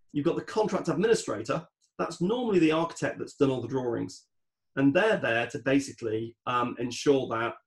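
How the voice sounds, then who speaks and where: Guy on a podcast, bit of gravelly fry in his voice, explains stuff step by step. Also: medium pitch. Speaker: guest expert on home renovation project management.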